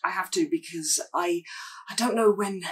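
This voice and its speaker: A woman playing an awkward, lovestruck young person, hesitant and earnest in a halting monologue. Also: on the low side, husky, distant mic. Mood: earnest yearning. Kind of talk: dramatic monologue acting.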